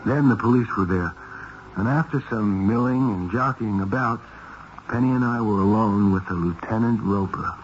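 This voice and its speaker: An actor playing a blunt, quick-thinking detective with a clipped, direct delivery in a 1970s radio mystery. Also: male voice, on the low side, lightly acted. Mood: wry narration. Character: blunt, quick-thinking male detective, hard-boiled and in command. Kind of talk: 1970s radio mystery acting with first-person narration. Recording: dull, hissy 1970s radio recording.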